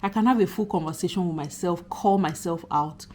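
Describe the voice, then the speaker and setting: Slightly husky woman vlogging earnestly. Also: fairly low pitch, a little fast, a little mellow, a little hiss or hum. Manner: earnest, chatty, emphatic repetition. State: recovering from a cold. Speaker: YouTuber talking to subscribers. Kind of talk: casual reflective vlog monologue.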